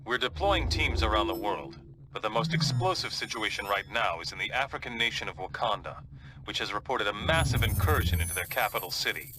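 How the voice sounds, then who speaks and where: A man plays an analytical, grave strategist giving a game briefing. His voice is fairly low, with noticeable noise in the background. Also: expressive, fairly quick, natural voice. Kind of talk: video game briefing narration.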